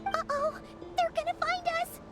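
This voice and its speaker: A woman voicing a small, excitable, chirpy fairy-like companion in a video game. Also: very high voice, normal speed. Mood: alarmed. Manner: chirpy, exclamatory. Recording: loud music over the voice.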